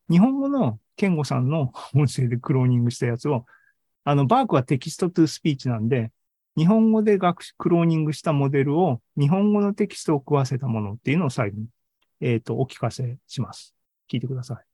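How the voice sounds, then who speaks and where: A man giving a casual live presentation as host, halting and thinking aloud as he goes. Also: fairly high pitch, expressive, dark tone, no room sound. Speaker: podcast host and tech presenter. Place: online video meeting talk, dry close sound.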